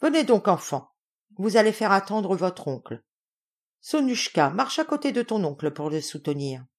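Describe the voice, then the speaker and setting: A woman's voice: medium pitch, very animated, moderate pace. A woman voicing a domineering middle-aged noblewoman, imperious and effusive, in a storybook reading. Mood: bossy. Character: domineering, gushing middle-aged Russian noblewoman, scolding and flattering.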